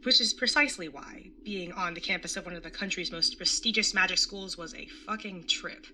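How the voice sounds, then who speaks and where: A woman's voice: on the low side, fairly quick, bright, forceful tone, a little hiss or hum. A woman playing a wry, jaded young artist bartender in an audio drama, sardonic and casually sweary as narrator and older sibling. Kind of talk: first-person audio drama narration and dialogue.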